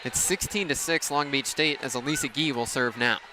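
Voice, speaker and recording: A young man calling live sports on radio in clipped phrases that build into excited calls. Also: mid-range voice, moderate pace. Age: young adult. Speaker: radio play-by-play sports announcer. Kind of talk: live radio play-by-play commentary. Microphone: headset. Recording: noisy gym with court sounds behind. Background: gym ambience, bouncing ball.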